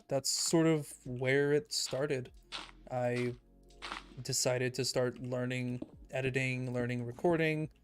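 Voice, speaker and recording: A young man streaming a building game, talking calmly and evenly in a soft, soothing voice he calls monotone. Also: medium pitch. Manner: calm, soft-spoken, self-described monotone. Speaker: Minecraft streamer. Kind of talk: casual livestream chat while building in a game.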